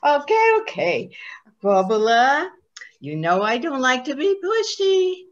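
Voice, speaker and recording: An eager, loving old grandmother acted by a woman in a comic play reading. She speaks slowly with very lively swings of pitch and coaxes fondly. The sound is a little dull, like a video call. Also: exaggerated voice. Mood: coaxing. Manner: affectionate, coaxing, uses Yiddish pet names.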